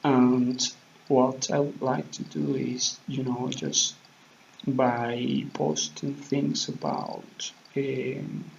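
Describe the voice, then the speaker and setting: Slow, hesitant man with a Spanish accent. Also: mid-range voice, gravelly, a little mellow. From Mexico. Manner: hesitant, many pauses and fillers, searching for words. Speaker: channel host. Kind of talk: unscripted vlog talk. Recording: dull lo-fi sound, faint background noise.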